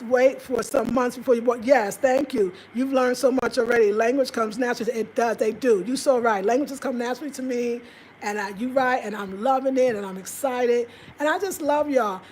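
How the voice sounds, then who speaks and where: An American woman in her fifties chatting casually and expressively to viewers, telling stories in an animated, conversational way. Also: a little high, a little hiss or hum, rough recording. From United States. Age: 50s. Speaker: vlogger. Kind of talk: casual livestream chat, storytelling to viewers.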